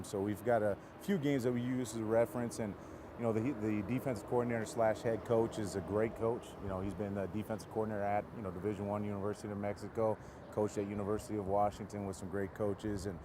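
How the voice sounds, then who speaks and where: Male college coach, fairly deep, earnest conversational interview answers, recorded outside with noticeable background noise. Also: fairly even intonation, fast. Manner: earnest, rambling, leans on "you know". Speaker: college football offensive coach. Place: outdoors near the football stadium. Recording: noisy outdoor setting, traffic rumble.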